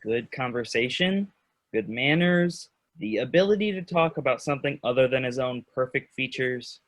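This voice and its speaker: An actor playing a wry, impatient but helpful friend in a children's fairy-tale stage reading. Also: male voice, mid-range voice, expressive, normal speed, lightly acted.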